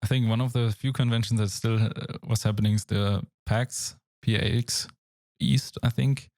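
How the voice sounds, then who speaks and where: A man's voice: lowish voice, steady tone, normal speed, a little mellow, dry recording, studio quality. A young German man with a German accent, co-hosting a casual games podcast in a thoughtful, searching way. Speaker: podcast co-host. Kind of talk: casual chat.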